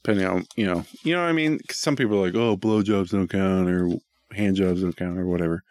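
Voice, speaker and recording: A man with a fairly low voice co-hosts a podcast, recorded dry with little room sound. He chats casually and jokes around, irreverent and free with swearing. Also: quick pace, darkish tone, no room sound. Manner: profane, irreverent. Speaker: podcast co-host reading listener questions. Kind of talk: casual joking banter.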